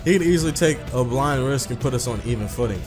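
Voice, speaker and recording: A man's voice: mid-range voice, expressive, fast. A young man hosting a gaming video, reading a visual novel aloud in an informal, profane, conversational way. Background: music.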